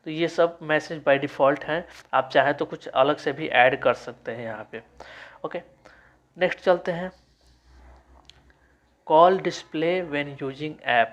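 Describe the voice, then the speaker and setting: A man's voice: a little high, slow pace, pauses often, lo-fi sound. A man giving a casual, explanatory phone tutorial as its host, addressing viewers informally. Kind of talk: casual phone settings walkthrough.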